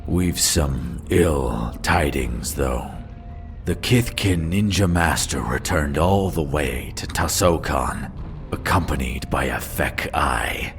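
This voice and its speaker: A man voicing a menacing dark elf raider lord in a fantasy audiobook, commanding and measured, with a resonant voice. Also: soft background music, exaggerated voice. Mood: coldly commanding. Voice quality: deep, resonant.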